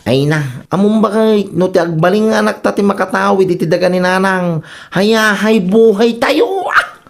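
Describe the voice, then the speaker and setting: A woman acting an excitable, teasing spouse eager for a son in a radio comedy drama. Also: mid-range voice, moderate pace, lightly acted. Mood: overjoyed, excited.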